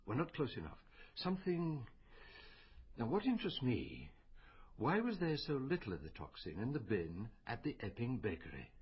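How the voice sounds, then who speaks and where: A muffled radio drama recording of a brooding male medical detective. His husky voice moves in lively swings as he probes and muses deliberately, with a poetic bent. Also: mid-range voice, full character voice. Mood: probing. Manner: questioning, deliberate, musing. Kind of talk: naturalistic radio drama acting.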